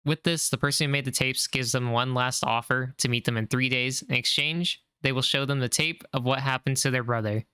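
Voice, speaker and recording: A man narrating a recap of a horror series in a calm, measured way. His voice is bright and crisp, recorded close and dry with no room sound. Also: medium pitch, no echo. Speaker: video narrator.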